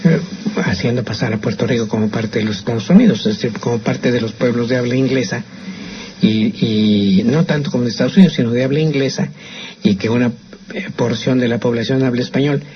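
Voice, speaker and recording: A man's voice: mid-range voice, fairly quick, slight background noise. An older man with a Mexican accent, chatting opinionatedly as a radio host about language and restarting his phrases often. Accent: Mexican Spanish. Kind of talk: casual radio commentary. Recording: slightly muffled radio broadcast.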